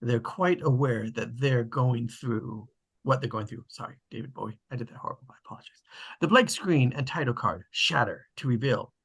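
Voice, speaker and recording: A man with a slightly husky voice, casually hosting an online table read and narrating the stage directions. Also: medium pitch, normal speed, fairly bright tone. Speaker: host and stage-direction reader. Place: online video call.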